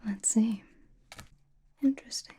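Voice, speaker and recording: A woman playing a calm, knowing, teasing sorceress who reads tarot in an ASMR roleplay, with a breathy, soothing whisper and deliberate delivery. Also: a little high. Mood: gentle, knowing. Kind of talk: ASMR roleplay, personal attention.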